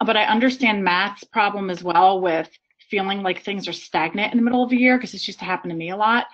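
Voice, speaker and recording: A woman's voice: mid-range voice, fast, fairly bright tone. A woman moderating a teacher panel, asking polite, long winding questions. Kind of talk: casual panel discussion. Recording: dull lo-fi video call audio.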